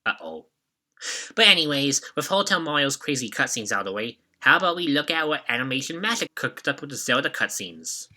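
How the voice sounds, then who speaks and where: A man's voice: mid-range voice, big pitch swings, fairly quick, bright, forceful tone. A man hosting a game retrospective, giving scripted commentary with casual asides in a jokey, mock-dramatic manner.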